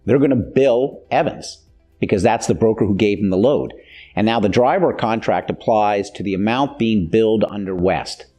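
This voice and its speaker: A man's voice: fairly low pitch, normal speed, mellow, soft background music. An American man in his fifties giving a plain-spoken, instructional explanation as the host of a training video. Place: indoor video studio.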